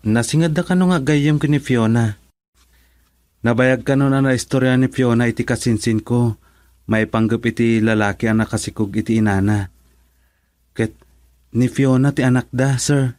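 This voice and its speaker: A man's voice: medium pitch, normal speed, long pauses, a little mellow, dry recording, close to an everyday voice. An actor playing a respectful male employee reporting to his boss in a radio drama. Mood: deferential. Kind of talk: Ilocano radio drama acting.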